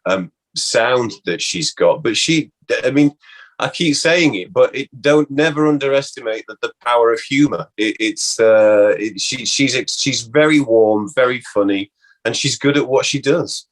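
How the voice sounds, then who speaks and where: A man, an actor being interviewed, talking casually and reflectively, haltingly repeating words as he thinks aloud. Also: mid-range voice, low-quality recording.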